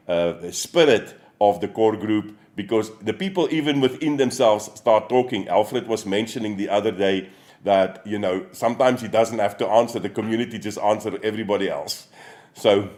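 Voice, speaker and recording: A company executive speaking on a livestream, halting and full of fillers. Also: male voice, fairly low pitch, darkish tone. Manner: halting, many fillers and restarts. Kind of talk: casual livestream talk.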